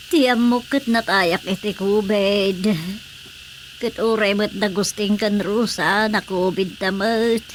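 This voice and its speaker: A woman's voice: medium pitch, slight background noise, natural voice, rough recording. A woman with an Ilocano Filipino accent playing a dead, complaining older mother-in-law in a radio comedy. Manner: complaining, scolding.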